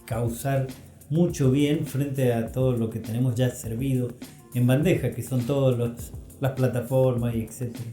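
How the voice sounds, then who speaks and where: An Argentine man co-hosting a casual podcast chat, phrasing his thoughts in a halting, thoughtful way. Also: medium pitch, steady tone, dark tone. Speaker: podcast co-host. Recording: roomy sound with music.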